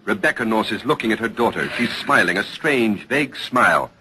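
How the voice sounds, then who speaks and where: A man's voice: fairly low pitch, lively intonation, brisk. An actor playing an urgent male reporter giving live commentary on a trial in an old radio drama. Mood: observant, tense. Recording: noisy old radio broadcast recording.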